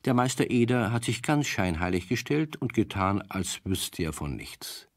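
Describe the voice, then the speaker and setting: A man's voice: lowish voice, sing-song, normal speed, no echo. A man narrating a children's radio play with an easy storytelling cadence.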